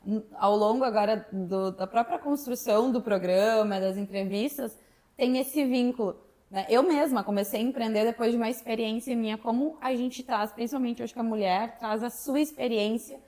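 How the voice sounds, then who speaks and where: A woman's voice: fairly high pitch, moderate pace, mellow. A woman hosting an interview, asking questions in a conversational way. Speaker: host. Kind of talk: casual interview chat.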